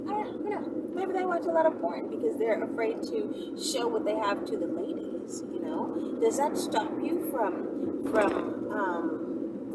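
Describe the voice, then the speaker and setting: A woman vlogger answering viewer questions in a rambling, animated monologue, often checking in with "right?" and "okay?". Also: sits high, far from the mic, very noisy. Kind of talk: casual Q&A monologue.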